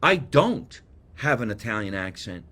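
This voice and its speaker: Man, New Jersey accent, podcast host, casual live chat, rambling, animated, mid pitch. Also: measured pace. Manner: chatty, rambling, animated storytelling. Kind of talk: casual live chat, reading viewer comments.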